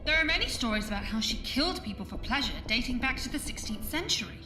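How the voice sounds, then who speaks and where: A woman's voice: a little high, expressive, quick pace, natural voice. A woman playing a plucky, inquisitive young female companion in an audio drama, earnest and easily alarmed. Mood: curious, amazed. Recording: echoey, distant, with music underneath.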